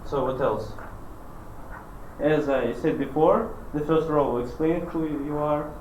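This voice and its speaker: A man running a lab class, talking casually in a halting, loose way with chuckles. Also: on the high side, darkish tone. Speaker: lab instructor. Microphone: distant room mic. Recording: echoey, noisy room from far off.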